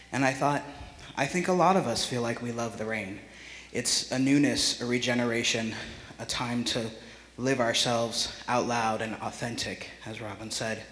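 A man's voice: medium pitch, fairly even intonation, normal speed, fairly bright tone, slight background noise. A man in his thirties giving an earnest, reflective memorial speech into a microphone in a church. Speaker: memorial speaker. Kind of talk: heartfelt public memorial speech. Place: large church, speaking to a gathering. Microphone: handheld or stand microphone close to mouth. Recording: echoey church hall reverb.

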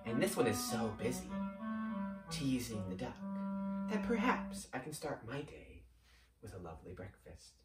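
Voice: mid-range voice, very animated, a little slow. A storyteller voicing a sly, hungry cat with a scheming manner, recorded at a distance in a roomy space with faint music.

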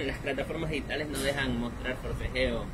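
A man's voice: medium pitch, fairly even intonation, fairly quick. A young man rescuing a stray dog, talking earnestly to viewers on an outdoor city sidewalk. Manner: earnest, explains to viewers directly. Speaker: animal rescuer and vlogger. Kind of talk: casual on-location vlog talk. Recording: outdoor phone recording, loud street noise. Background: loud street traffic.

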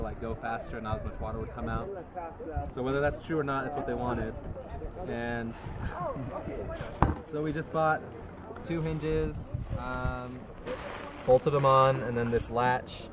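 A man's voice: medium pitch, fairly even intonation, moderate pace, pauses often, over a noisy crowd. A young man in his twenties from Utah, an engineering student, casually explaining a design change. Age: 20s. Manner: loose, chatty, with fillers. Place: outdoors by a lake. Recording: loud outdoor background noise.

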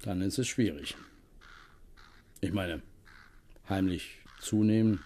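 A man acting a practical, fatherly go-between in a radio play, gentle and persuasive. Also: low voice, slow pace.

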